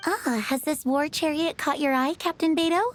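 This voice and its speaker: A woman's voice: sits high, steady tone, moderate pace, faint music behind, lightly acted. Poised, polite woman antiques dealer voiced by an actress. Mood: polite, businesslike. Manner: polite, businesslike. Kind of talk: scripted video game dialogue acting.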